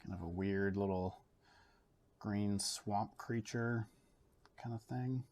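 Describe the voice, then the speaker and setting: A Canadian man teaching an art lesson from his home studio, thinking aloud and filling pauses as he draws. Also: fairly low pitch. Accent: Canadian English. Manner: relaxed, thinks aloud with fillers while drawing. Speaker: art educator. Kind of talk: casual art demonstration. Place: home studio, overhead camera on a table.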